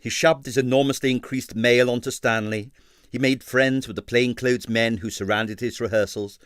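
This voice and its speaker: A man reading an abridged novel aloud with expressive narration. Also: medium pitch, moderate pace, no room sound, studio quality. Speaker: audiobook reader.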